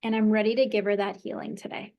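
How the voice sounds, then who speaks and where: A woman's voice: mid-range voice, flat delivery, quick pace, vocal fry, dull audio. Encouraging woman guiding a tapping session. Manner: encouraging, leads phrases for repeating. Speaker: tapping practitioner.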